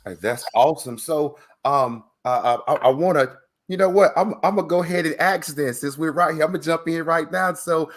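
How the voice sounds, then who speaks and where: A man's voice: on the high side, a little fast, few pauses. A man hosting an interview in a casual, encouraging way, speaking earnestly and repeating words for emphasis. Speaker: host and interviewer.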